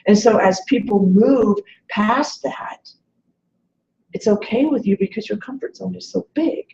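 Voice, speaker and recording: A woman in her late forties gives earnest motivational coaching in a conversational way. Her voice has noticeable creak at a middling pitch. The audio is dull and echoey, like a webinar from an indoor room. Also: a little slow, warm tone, slight background noise. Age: late 40s. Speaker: webinar guest speaker, self-esteem coach.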